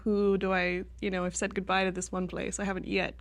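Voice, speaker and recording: A woman's voice: mid-range voice, monotone, fairly quick, vocal fry. A woman in a casual class discussion, telling a personal story in a wry, chuckling way. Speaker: class participant.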